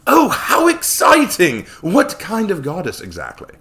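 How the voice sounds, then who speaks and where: A man's voice: high voice, wildly swooping pitch, unhurried, gravelly, sharp tone, voice pushed up, full character voice. A man voicing an oily, greedy mercenary, falsely genteel and overeager, in a storybook reading. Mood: eager.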